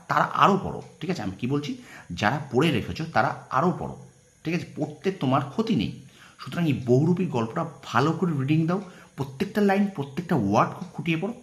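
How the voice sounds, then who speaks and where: A male teacher with a mid-pitched voice that rises and falls in lively swings. He speaks in an instructive, emphatic classroom way, repeating key points and adding short check-in questions. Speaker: teacher running an exam-prep channel. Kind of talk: informal exam lesson, reading out questions and answers.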